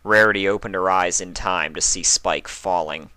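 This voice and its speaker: A man's voice: sits low, normal speed, sharp tone, no echo. A man speaking as a reader of fan fiction stories. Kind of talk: storybook narration and casual sign-off.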